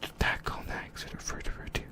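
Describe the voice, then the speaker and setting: A man whispers throughout in a breathy, unvoiced hush. He hosts in an informal ASMR style, talking straight to his viewers like a fellow fan giving casual commentary. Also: fast. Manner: informal, addresses viewers directly. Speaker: ASMR video host.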